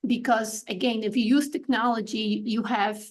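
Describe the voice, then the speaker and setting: A woman's voice: fairly high pitch, fairly even intonation, normal speed. A woman from Poland with a Polish accent, a guest on a podcast interview, speaking thoughtfully with many fillers and restarts. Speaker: guest artist.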